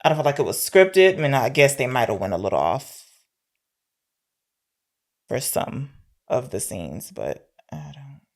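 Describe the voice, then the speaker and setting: A woman's voice: a bass voice, expressive, a little slow, pauses often. A woman co-hosting a casual film discussion in an informal, hesitant, conversational way. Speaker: podcast co-host reviewing films.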